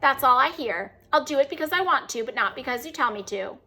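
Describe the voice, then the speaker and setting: A woman voicing a rude, cheeky female pet parrot that repeats herself in a shrill, squawking voice. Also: lively intonation, a little fast, sharp tone, heavily acted.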